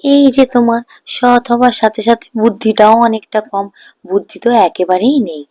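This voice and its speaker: A woman's voice: a little high, normal speed, darkish tone, no room sound, close to an everyday voice. Scheming fairy-tale milkman's wife, voiced by a woman: dry studio sound, nagging, scornful, money-minded. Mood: scornful, exasperated. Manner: coaxing, scornful. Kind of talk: Bengali fairy-tale cartoon voice acting.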